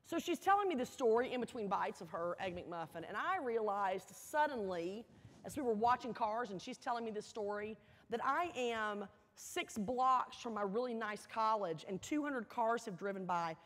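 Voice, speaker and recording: A woman gives a motivational storytelling speech to an audience, earnest and emphatic, with dramatic pauses and repeated phrases. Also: on the high side, expressive, a bit bright. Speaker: motivational speaker at a university welcome event. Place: large indoor hall, addressing an audience. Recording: echoey large hall with long reverb.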